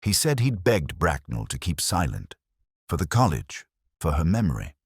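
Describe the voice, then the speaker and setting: A man playing a melancholy detective who recalls a case in measured, literary first-person mystery narration. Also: low-pitched, huge pitch swings, breathy edge, dry recording, clean studio sound, heavily acted. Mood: brooding, reflective. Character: melancholy older male English police detective recalling a case. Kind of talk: scripted first-person mystery narration, radio drama style.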